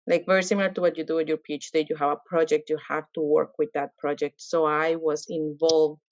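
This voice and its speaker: A woman from Colombia, a medical scientist, answering interview questions in a lively way, with many fillers and restarts. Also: low-pitched, no echo, dull audio.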